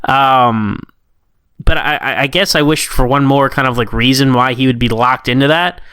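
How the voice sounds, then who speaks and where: A man co-hosting a casual review discussion, informal and opinionated, talking in an animated way. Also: medium pitch, moderate pace, clear, resonant tone, dry recording. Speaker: podcast co-host.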